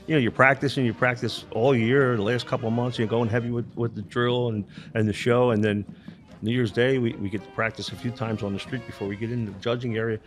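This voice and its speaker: A man in his sixties, a longtime string band captain, chatting in an interview with a naturally loud voice and frequent stammered restarts. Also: mid-range voice, fairly even intonation, quick pace. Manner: loud, projecting voice, repeats words when starting sentences. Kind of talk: casual interview chat.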